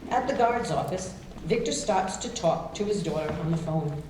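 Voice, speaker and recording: Female stage-directions narrator, lowish mellow voice, scripted delivery, very roomy distant recording. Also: animated, moderate pace. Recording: very echoey room, distant, faint noise.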